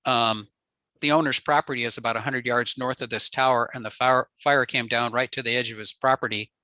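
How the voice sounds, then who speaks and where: A man with a very bright, sharp voice walks through a technical slide presentation at an easy pace, dropping frequent ums and uhs as he explains. The sound is dry and close, with no room around it. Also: mid-range voice, fairly even intonation, fairly quick, no room sound. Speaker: ham radio presenter.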